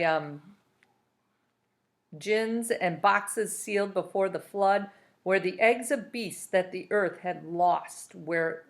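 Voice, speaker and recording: A woman narrating a children's novel aloud as a storybook reader. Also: lowish voice.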